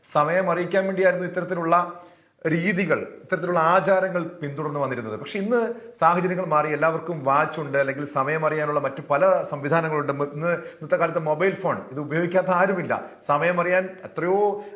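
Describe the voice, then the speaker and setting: A man's voice: on the high side, a little fast, fairly bright tone. A man with a Malayali accent giving his view as a panelist in a television debate. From Kerala, India. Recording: roomy, echoing sound.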